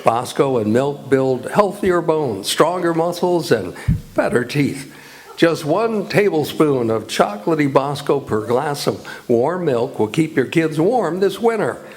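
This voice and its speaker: A man's voice: on the high side, animated, unhurried, a little mellow. A man with an American accent reading scripted credits and advertising copy as an old-time radio announcer.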